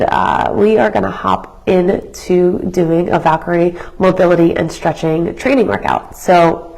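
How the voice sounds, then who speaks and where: A young woman with a raspy voice, hoarse as she recovers from being sick. She leads a live workout class as a fitness instructor, explaining things in a chatty, educational way. She often restarts her sentences and uses fillers as she talks. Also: mid-range voice, darkish tone, rough recording. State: hoarse from illness.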